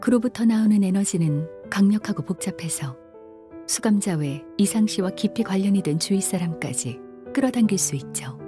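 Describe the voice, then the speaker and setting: Actress as a composed, formal guide, music behind. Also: female voice, mid-range voice, expressive, lightly acted. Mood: calm, explanatory. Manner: measured, formal, explanatory. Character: calm, composed, polite female guide. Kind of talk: Korean game voice acting.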